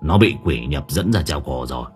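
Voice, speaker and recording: A man voicing a bumbling male exorcist who whispers low and stammers in panic. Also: animated, moderate pace, faint music behind, close to an everyday voice. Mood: panicked whisper.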